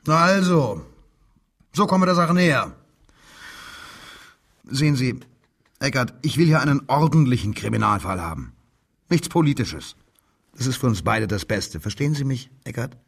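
An actor playing a cold, bullying German police commissioner in a radio drama, interrogating with insistent, deliberate emphasis. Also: male voice, medium pitch, sing-song, gravelly, slightly rough, heavily acted. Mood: cold, coaxing. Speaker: police detective.

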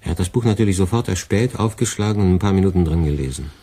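A man's voice: deep voice, quick pace, warm tone. A man playing a sardonic middle-aged department head who lectures his employee in a dry, ironic tone.

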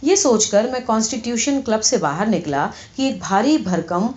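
A woman's voice: fairly high pitch, lively intonation, a little mellow. A woman reading a satirical short story as scripted audiobook narration. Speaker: audiobook reader. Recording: slightly dull, lo-fi audio.

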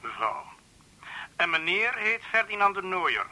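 An actor playing a formal, insistent police fraud detective, speaking curtly over the telephone in a radio drama. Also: male voice, high-pitched, very animated, measured pace, pushed and bright, full character voice. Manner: businesslike, formal, curt. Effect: telephone voice filter. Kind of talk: Dutch radio drama acting. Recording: tinny telephone line.

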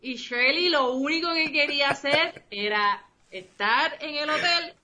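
A woman's voice: high voice, unhurried, bright, forceful tone. A woman in her thirties from Costa Rica, living in Puerto Rico, with a Puerto Rican Spanish accent that has Costa Rican traces. She is a guest in a casual interview, rambling with restarts and fillers and mixing in English words. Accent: Puerto Rican Spanish with some Costa Rican traces. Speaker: interview guest, game designer.

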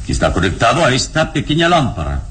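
A man playing a calm, wise, courteous adventurer hero in a radio serial, speaking in a composed, measured way. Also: medium pitch. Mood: calmly explaining. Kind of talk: Spanish-language radio serial acting.

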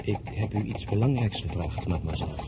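A man's voice: lowish voice, a little fast, very noisy, lightly acted. A man playing an earnest, blunt French general of thirty-one in a Dutch drama, courting in a direct, insistent way. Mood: earnest proposing. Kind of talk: Dutch radio drama acting.

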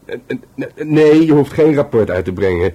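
An actor playing a wry, reflective police detective in a Dutch radio drama, speaking hesitantly and intimately. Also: male voice, medium pitch, lively intonation, moderate pace, warm tone, muffled recording, close to an everyday voice. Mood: brisk, friendly.

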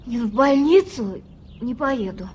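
High-voiced stubborn young woman. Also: expressive, darkish tone. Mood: defiant. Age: young adult. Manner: hesitant, halting, naive but obstinate. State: pained. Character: stubborn young provincial female exam applicant in pain. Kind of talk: 1980s Soviet radio drama acting. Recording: faintly noisy archive radio play recording.

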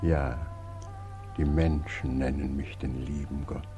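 A man's voice: extremely low pitch, fairly even intonation, slow, full character voice. An actor playing God as a sorrowful, helpless, tender old man, plaintive and lamenting, in a 1940s German radio drama. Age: older. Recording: 1940s radio recording with music behind.

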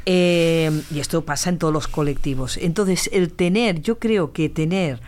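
High-pitched man from Spain. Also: moderate pace. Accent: Spanish from Spain. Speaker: podcast participant and activist. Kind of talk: casual chat.

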